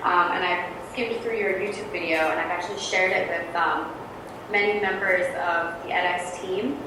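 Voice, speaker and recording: A woman's voice: on the low side, fairly even intonation, normal speed. Echoey, far-off chatty woman interviewer. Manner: friendly, chatty, many fillers. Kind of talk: casual interview. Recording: very echoey, distant, noisy room.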